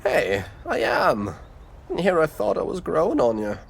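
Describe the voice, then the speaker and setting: A man's voice: on the high side, huge pitch swings, measured pace, vocal fry, slight background noise, exaggerated voice. A man playing a wry, brooding supernatural detective in a scripted audio drama, with a theatrical delivery. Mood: wry, playful.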